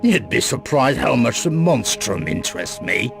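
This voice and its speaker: An actor playing a wary, menacing underworld boss in a video game, guarded and dismissive in manner. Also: male voice, medium pitch, very animated, normal speed, gravelly, a bit bright, faint music behind, full character voice. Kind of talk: video game cut-scene acting.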